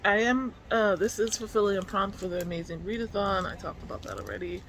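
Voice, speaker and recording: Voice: mid-range voice, lively intonation, brisk, a bit bright. A book vlogger of unclear sex chats casually in an informal, slangy way, musing aloud. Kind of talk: casual reading vlog chat. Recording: clearly noisy room ambience.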